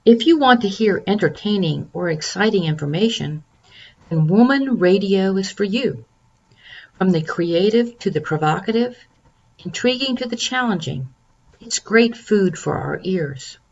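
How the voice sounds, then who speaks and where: A woman from North Carolina reading a lively, upbeat station promo as a listener. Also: medium pitch, unhurried, highs cut off. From North Carolina, USA. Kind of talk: read promo.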